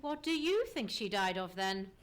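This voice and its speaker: A woman playing a keen, authoritative Victorian detective with formal, crisp questioning in a live old-time radio drama. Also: medium pitch, very animated, normal speed, close to an everyday voice. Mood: probing. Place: theatre stage.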